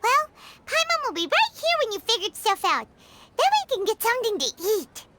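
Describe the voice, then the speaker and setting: Tiny squeaky game fairy sidekick, super high and bouncy, perky and pestering, noisy background. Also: female voice, big pitch swings, moderate pace. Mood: perky, impatient. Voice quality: squeaky, childlike. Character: tiny childlike floating fairy companion, female, chatty, food-loving. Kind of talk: video game character acting.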